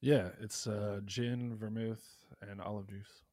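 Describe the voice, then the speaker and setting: A man's voice: lowish voice, level tone, slow pace, a little mellow, no echo, clean studio sound. A man co-hosting a casual podcast, joking and rambling, often trailing off into mumbles. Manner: jokey, rambling, drops to mumbles. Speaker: podcast co-host. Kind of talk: casual chat.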